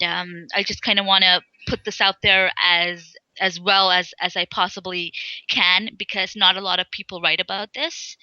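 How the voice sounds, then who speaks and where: A young woman from Sri Lanka, an interview guest speaking in a halting way with many fillers and restarts. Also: on the low side, bright, forceful tone, clear, resonant tone, no room sound, dull audio. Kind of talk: casual interview talk.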